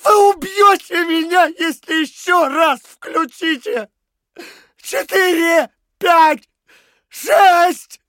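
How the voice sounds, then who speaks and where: A broken male prisoner in a radio drama. His high-strung, anguished voice drags extremely slowly, halting and frightened, as one under torture. The recording is dry. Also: fairly bright tone, no echo, heavily acted. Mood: desperate, crying. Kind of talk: dramatic radio play acting.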